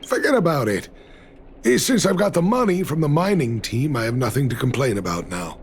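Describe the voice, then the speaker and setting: A man's voice: mid-range voice, animated, fairly quick, a little hiss or hum, natural voice. An actor voicing a world-weary middle-aged miner and father in a video game, resigned and sighing. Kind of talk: dramatic video game dialogue.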